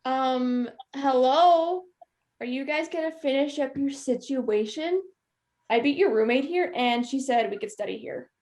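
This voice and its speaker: This is a young woman playing a spoiled, snide college girl in a student play. Her voice sits very high. She talks with sarcasm and open insolence. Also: measured pace, a little mellow. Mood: taunting. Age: young adult. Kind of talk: stage acting in a student one-act play.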